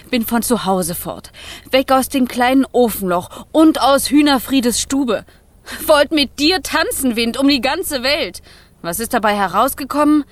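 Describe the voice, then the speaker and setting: A woman playing a willful young female fire spirit in a German children's radio play, theatrical and expressive. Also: high-pitched, dry recording. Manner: theatrical, expressive, swinging between pleading and coaxing.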